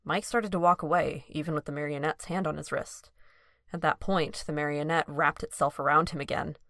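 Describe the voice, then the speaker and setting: A woman's voice: low-pitched, steady tone, brisk, fairly bright tone, no room sound, clean studio sound. A woman reading scripted story narration for an audio drama.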